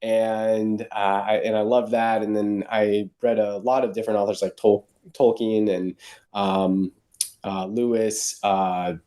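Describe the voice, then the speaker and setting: A man's voice: lowish voice, level tone, a little slow, mellow. A man who grew up in Ukraine, a novelist guest on a podcast, telling stories with enthusiasm amid frequent fillers and restarts. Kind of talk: casual interview.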